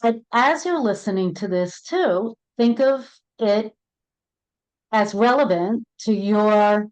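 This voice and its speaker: A woman's voice: mid-range voice, slow, darkish tone. Earnest middle-aged Brooklyn-accented woman. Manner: earnest, repeats words as she talks. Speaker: end-of-life doula, co-host. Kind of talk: casual heartfelt conversation. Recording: dull lo-fi audio.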